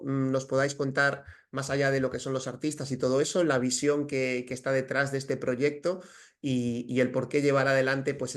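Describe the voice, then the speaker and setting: A man with a Spanish accent from Spain, interviewing guests attentively and nudging them on with short affirmations. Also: medium pitch.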